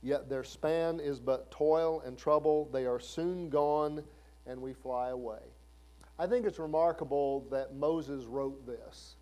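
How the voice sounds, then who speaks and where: A man's voice: medium pitch, fairly even intonation, slow pace, mellow, poor audio. A man in his fifties preaching a sermon in a conversational way, asking the listeners questions. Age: 50s. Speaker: pastor.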